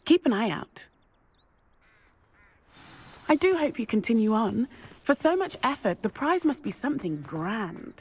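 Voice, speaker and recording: A woman's voice: fairly high pitch, very animated, measured pace, pauses often, husky, exaggerated voice. A young woman playing a keen, encouraging schoolgirl at a wizarding school in a video game. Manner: enthusiastic, encouraging. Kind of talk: video game character dialogue.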